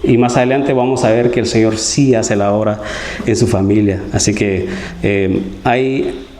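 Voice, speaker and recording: A man's voice: lowish voice, warm tone, a little hiss or hum. A man from Guatemala, giving an earnest, conversational sermon as a lay preacher and often asking his listeners for agreement. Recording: roomy church hall echo.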